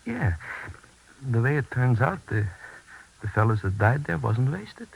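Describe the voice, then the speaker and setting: An actor playing an eager, optimistic son in a 1940s radio drama, excitedly stammering and repeating himself. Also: male voice, medium pitch, a little slow, creaky, narrow, tinny audio. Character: eager, optimistic son following the war news. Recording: slightly noisy 1940s radio recording.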